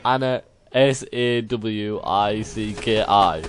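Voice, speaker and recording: A man's voice: fairly low pitch, takes it slowly. A young British man telling anecdotes in casual, slangy, bantering chat with a friend. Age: young adult. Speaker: video host telling stories. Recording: music behind the voice. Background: music.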